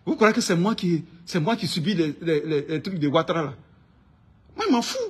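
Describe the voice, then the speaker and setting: A man with an Ivorian accent speaks in a high-pitched, raspy-edged voice. He rants informally about his views in an indignant, emphatic way, often hammering a phrase by repeating it. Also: lively intonation, a little fast. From Ivory Coast. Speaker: online political commentator. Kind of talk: informal political rant to camera.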